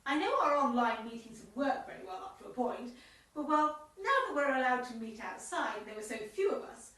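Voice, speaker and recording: A woman's voice: high-pitched, expressive, fairly quick, fairly bright tone. A woman acting a bossy, fussy English village committee chairwoman in an amateur radio comedy, prim and effusively gracious. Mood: officious. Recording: echoey room, distant microphone.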